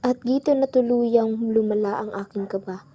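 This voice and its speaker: A woman's voice: fairly high pitch, steady tone, normal speed, lightly acted. A young woman playing an anxious, cautious friend who narrates in the first person in an amateur Filipino radio drama, earnest in her storytelling. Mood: anxious, foreboding. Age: young adult. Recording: dull, dry lo-fi student recording.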